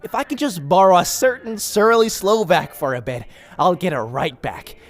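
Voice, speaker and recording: A man voicing a skinny, excitable young cartoon bootlegger who talks in a theatrical, wordy, rambling way. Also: high-pitched, moderate pace. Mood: sheepish, grandiose. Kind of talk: animated comic dub acting. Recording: faint background noise.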